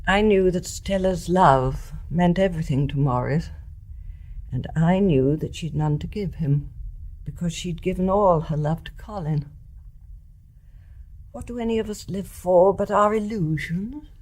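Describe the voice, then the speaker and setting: An actress playing a grave, resolute older British mother in a radio drama, speaking in a measured, refined and deliberate way. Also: fairly low pitch, a little mellow. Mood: tender, sorrowful. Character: grave, resolute older English mother confessing her deed.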